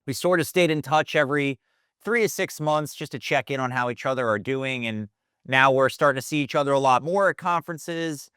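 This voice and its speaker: A man's voice: medium pitch, brisk, a bit bright, smooth, no room sound, clean studio sound. A man hosting a podcast, introducing his guest and asking questions in a friendly, loose way. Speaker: podcast host. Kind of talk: casual interview hosting.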